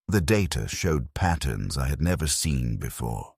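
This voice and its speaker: A man narrating a scripted first-person story in a measured, grave manner. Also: extremely low pitch, big pitch swings, gravelly, darkish tone, husky, no room sound, clean studio sound.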